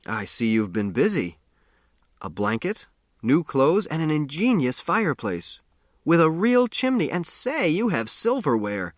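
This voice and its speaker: A man's voice: a little high, very animated, a little slow, dry recording, clean studio sound, pitched above the performer's own voice. A man voicing a jovial, teasing grown friend in an audiobook, with a hearty, theatrical manner. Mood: delighted.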